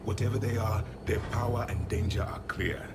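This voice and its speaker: A low-pitched man voicing a solemn alien warrior in a sci-fi game. He speaks gravely and formally, wary in tone, under loud music. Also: fairly even intonation, normal speed, close to an everyday voice. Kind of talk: video game dialogue.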